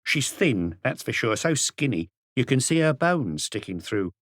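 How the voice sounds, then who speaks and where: A man's voice: medium pitch, sing-song, a little slow, a bit bright, no room sound, studio quality, pitched above the performer's own voice. A man voicing a plump, gossipy middle-aged woman who runs a café, in an audiobook reading. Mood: gossiping, scornful. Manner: chattering, scornful, indignant.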